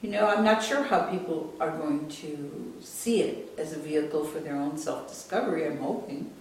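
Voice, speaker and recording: An American woman in her late sixties speaking as an author, measured, candid and thoughtful. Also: darkish tone. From United States. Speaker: author and artist being interviewed. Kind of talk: reflective interview talk and reading aloud from own book. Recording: roomy echo, distant mic, rumbly noise.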